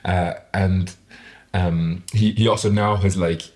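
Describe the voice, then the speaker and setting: A man with a low voice holds a casual interview conversation. He talks in a reflective, halting way, often circling back to rephrase what he has started. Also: steady tone, darkish tone. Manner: reflective, halting, self-correcting.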